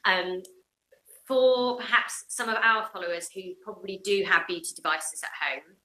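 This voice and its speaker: A woman's voice: mid-range voice, normal speed, sharp tone. A woman hosting a casual live interview, chatting and putting questions to her guest. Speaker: host of a live beauty interview. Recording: roomy echo on a live video call.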